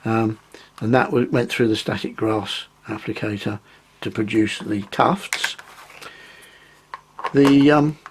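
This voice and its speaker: A man with a croaky voice after a cold, casually explaining his model railway work, pausing often with ums. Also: on the low side, fairly even intonation, background noise. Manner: rambling, hesitant, many ums. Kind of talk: casual hobby tutorial.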